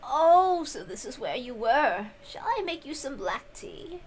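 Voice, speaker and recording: Gentle, reassuring servant woman, acted by a female reader, polite and measured, raised pitch, music playing behind. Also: big pitch swings, a little hiss or hum. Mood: welcoming. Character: kindly female servant, gently reassuring her young mistress.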